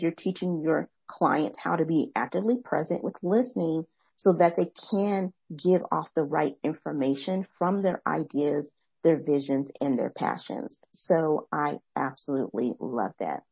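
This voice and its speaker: A young adult woman hosting a podcast, speaking in a soft, soothing voice, reflective and quick, sometimes restarting her phrases. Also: sits low, creaky, husky, no room sound, highs cut off. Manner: fast, excited talker who pauses to rephrase. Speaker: podcast host. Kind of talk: reflective interview commentary.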